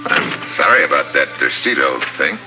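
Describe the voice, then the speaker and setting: Over music on a vintage radio recording, a wry American man, a government agent working undercover. His very deep voice is laconic and hard-boiled, in old radio drama style. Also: sing-song, normal speed, full character voice, rough recording. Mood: dry, hard-boiled. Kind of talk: 1950s radio drama acting and narration.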